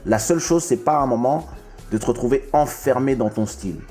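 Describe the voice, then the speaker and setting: A man's voice: medium pitch, a little fast, dark tone, faint music behind. A man from Congo hosting a casual chat, talkative and often stumbling and restarting his sentences. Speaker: podcast host.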